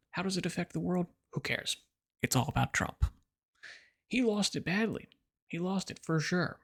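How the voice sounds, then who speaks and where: A man's voice: fairly high pitch, creaky, fairly bright tone, husky. An American man delivering a blunt, sarcastic opinion monologue as a political commentator. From United States. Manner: blunt and sarcastic, lecturing the listener.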